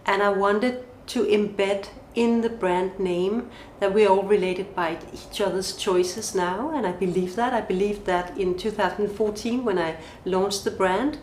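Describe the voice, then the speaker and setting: A woman's voice: medium pitch, fairly even intonation, measured pace, few pauses, darkish tone, slight background noise. Woman, Danish accent, thoughtful, lists questions, interview guest, echoey room. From Denmark. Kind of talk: podcast interview answers. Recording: roomy echo.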